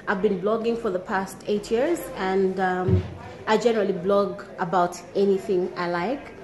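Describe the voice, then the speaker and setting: A woman's voice: mid-range voice, fairly even intonation, normal speed, a little mellow. Zambian woman telling a neighborhood story. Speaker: blogger and activist. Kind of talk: personal storytelling to camera. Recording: echoey room.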